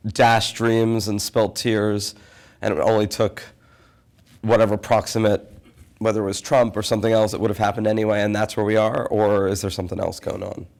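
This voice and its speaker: A man's voice: fairly low pitch, a little mellow. A man with a head cold steering a panel conversation, wry and self-deprecating, asking long winding questions. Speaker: panel moderator. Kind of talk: panel discussion.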